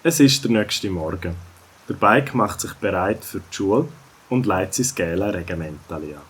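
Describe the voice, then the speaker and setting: Husky, low-voiced Swiss German storyteller man. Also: expressive, normal speed, a bit bright. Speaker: narrator. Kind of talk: scripted children's story narration.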